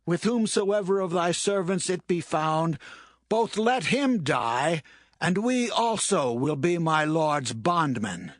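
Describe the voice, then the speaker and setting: A man's voice: a little high, expressive, slow pace, no echo, clean studio sound. An actor playing an anxious brother, pleading his honesty in a dramatised scripture reading. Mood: indignant pleading.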